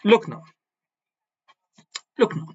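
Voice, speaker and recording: A man's voice: slow pace, highs cut off. A man whose first language is Kashmiri, teaching physics on a board and repeating key phrases, often checking with "no?". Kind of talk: blackboard physics lecture.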